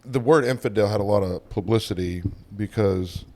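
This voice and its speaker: A man from Texas speaks in a low, deep voice. His Southern drawl is heavy and runs his words together. He talks casually, as a guest in a podcast conversation. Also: normal speed, darkish tone. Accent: Texan Southern. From Texas, United States. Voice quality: slurred drawl.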